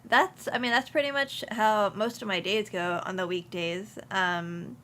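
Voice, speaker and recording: A woman's voice: medium pitch, measured pace, pushed and bright, a little hiss or hum. A young woman from Houston, speaking casually as an interview guest, with many ums and restarts. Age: young adult. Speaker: insurance agency principal, guest.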